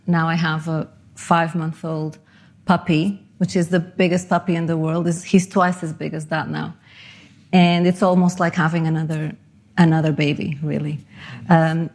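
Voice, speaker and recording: A woman's voice: on the low side, darkish tone. A middle-aged woman from Portugal and Panama giving a conversational, self-deprecating conference talk. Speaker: conference speaker.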